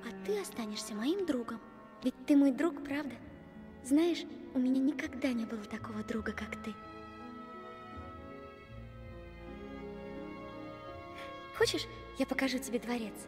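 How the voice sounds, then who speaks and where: Affectionate girlish goose for animated fairy tale, female actor; very high, coaxing voice with music behind. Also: a little fast, in a large hall, natural voice. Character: plucky, affectionate young princess turned goose. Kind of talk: animated film acting.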